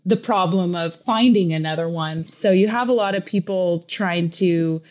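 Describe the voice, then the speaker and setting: A woman's voice: lowish voice, normal speed, darkish tone. Casting: young woman reporter from Hawaii, casual and chatty, lots of "um" and "like.". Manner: chatty, with many fillers and restarts. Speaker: real estate reporter. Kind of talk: casual podcast interview.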